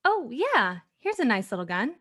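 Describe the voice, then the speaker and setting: A woman's voice: fairly high pitch, big pitch swings, moderate pace, very noisy. A woman playing a breezy, businesslike gun shop clerk in a radio play.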